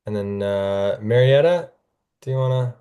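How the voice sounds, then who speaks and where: Man, moderator, mellow, smooth, casual, slightly low voice, video call. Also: unhurried. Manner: casual, relaxed, hands turns to others. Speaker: event moderator.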